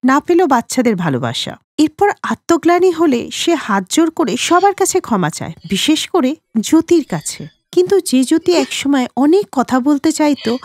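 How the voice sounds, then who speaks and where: High, animated woman story narrator. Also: normal speed, dry recording, clean studio sound.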